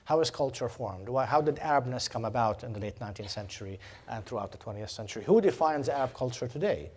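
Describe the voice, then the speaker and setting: Man from Jordan, lecturer, hesitant, lots of ums and restarts, mid-pitched voice. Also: animated, quick pace, darkish tone, husky. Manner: hesitant, many fillers and restarts. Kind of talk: academic Q&A answer. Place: lecture hall.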